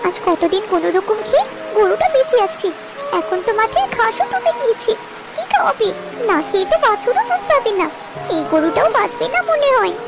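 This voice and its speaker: A woman voicing a high-pitched anxious village cartoon girl. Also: big pitch swings, brisk, over music, exaggerated voice. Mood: worried, sorrowful. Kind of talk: Bengali moral cartoon dialogue.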